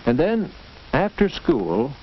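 An American man narrating a scripted 1940s educational film in a measured, instructive storytelling manner, on an old film soundtrack. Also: on the high side, huge pitch swings. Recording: dull, noisy, clicking 1940s film soundtrack.

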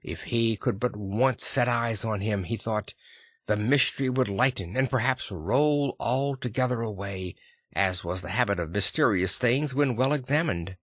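A man reading literary fiction as an audiobook narrator, with a measured, deliberate storytelling delivery. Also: on the low side, fairly bright tone, dry recording, studio quality.

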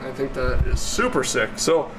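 A man's voice: medium pitch, moderate pace, over music. A man in his thirties giving casual hands-on build commentary, thinking aloud informally as he works in a garage workshop. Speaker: car builder and vlog host. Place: metal-walled garage workshop, indoors. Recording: loud steady shop ventilation noise.

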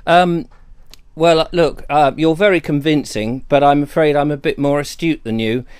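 A man's voice: fairly high pitch, steady tone, normal speed, darkish tone, clear, resonant tone, no room sound, studio quality. A middle-aged man with an English accent talking hesitantly and with naive worry on a recorded phone call. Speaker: call recipient recording a scam call. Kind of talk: phone conversation.

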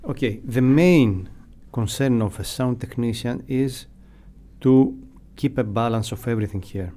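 A middle-aged man talks in a dark, relaxed voice of average pitch. He explains his points in measured, deliberate phrases as an interviewee, close to a studio microphone. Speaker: radio sound technician, interviewee. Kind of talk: unscripted explanatory interview answers. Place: acoustically padded radio studio. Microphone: close broadcast mic.

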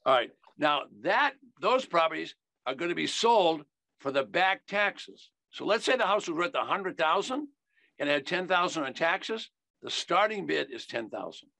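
A man's voice: on the high side, very animated, normal speed, gravelly, sharp tone, no room sound. An older American man teaching in an emphatic, folksy sales style, repeating key phrases on a video call. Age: older, 70s. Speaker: investing educator. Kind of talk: instructional sales talk.

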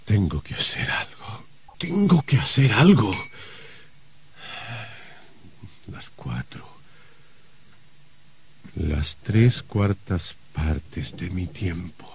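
A doomed novelist in radio theatre, anxious and sardonic. He speaks hesitantly and dramatically, drawing words out slowly while his pitch rises and falls widely. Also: sing-song, a little hiss or hum, heavily acted. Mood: desperate. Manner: hesitant, stammering, dramatic delivery.

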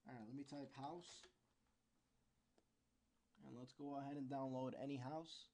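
A man giving an informal, unscripted software tutorial, explaining step by step and sometimes searching for words. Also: medium pitch, fairly even intonation, measured pace, a little hiss or hum.

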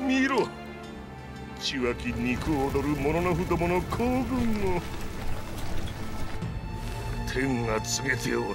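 An actor playing a flamboyant, gloating middle-aged samurai warlord in a game, speaking in theatrical, archaic, grandiose style. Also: male voice, fairly high pitch, very animated, measured pace, music in the background. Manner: theatrical, archaic grandiose speech with cackling laughs. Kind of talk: dramatic video game cutscene acting.

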